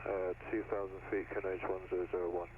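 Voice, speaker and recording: A man's voice: low voice, flat delivery, quick pace. A man making pilot radio calls in standard phraseology over an aircraft radio. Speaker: pilot on air traffic radio. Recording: noisy, dull, distant aircraft radio.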